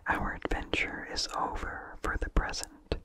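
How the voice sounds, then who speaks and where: Very slow, unvoiced ASMR whisper. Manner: slow, hushed, deliberate phrasing. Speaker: narrator reading a vintage horror story. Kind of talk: ASMR whispered story reading.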